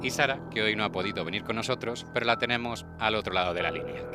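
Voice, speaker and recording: A man's voice: on the low side, fast. A man hosting a true-crime radio show. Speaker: radio host. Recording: music bed behind the voice. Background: background music.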